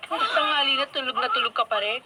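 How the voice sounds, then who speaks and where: Nagging young Filipino wife, radio drama, shrilly high, emphatic, fed up, very noisy recording. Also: female voice, big pitch swings, quick pace, distant mic. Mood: scolding. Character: fed-up, scolding working young mother and wife.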